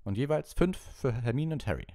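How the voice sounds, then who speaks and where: A man voicing an encouraging, brisk male wizard schoolteacher in a storybook, projecting in a lively, commanding yet kindly way. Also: medium pitch, pitched above the performer's own voice. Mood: pleased, praising.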